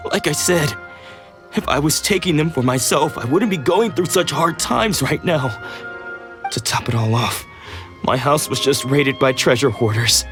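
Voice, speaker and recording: An actor playing a weary, beleaguered samurai who protests defensively in a video game. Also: male voice, medium pitch, lightly acted. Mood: frustrated. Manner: exasperated, protesting. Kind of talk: video game cutscene dialogue.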